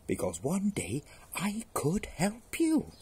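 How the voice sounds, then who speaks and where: A man's voice: high-pitched, wildly swooping pitch, slow pace, darkish tone, a little hiss or hum, voice pushed up, heavily acted. A man voicing a tiny, cheeky, boastful male mouse in a storybook fable. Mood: nervous, pleading. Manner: cocky, boastful, eager.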